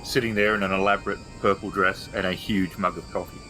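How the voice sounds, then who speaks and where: A man's voice: low voice, fairly even intonation, fast, sharp tone, soft background music. A man improvising scene narration as the game master of a tabletop roleplaying game.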